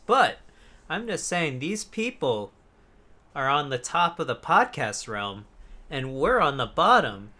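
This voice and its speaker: Bright-voiced man bantering on a podcast. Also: mid-range voice. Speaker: podcast co-host. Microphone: close USB desk microphone on an arm.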